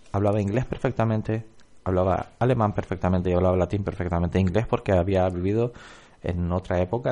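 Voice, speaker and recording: Brief: deep-voiced man, film director, earnest interview guest. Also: mellow. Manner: earnest, emphatic storytelling. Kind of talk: radio interview answers. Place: radio studio.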